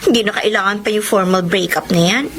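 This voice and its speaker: A woman's voice: medium pitch, fast, noisy background, natural voice. A woman acting a heartbroken, resigned young daughter in a radio drama, with a Filipino accent. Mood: hurt, resigned. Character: heartbroken, dutiful young daughter, resigned to losing her love.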